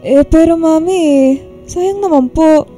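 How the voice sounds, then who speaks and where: A woman's voice: extremely high pitch, unhurried, dark tone, soft background music. A woman playing a timid, polite young adult Filipino daughter in a radio drama, speaking hesitantly and evasively. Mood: meek, listless.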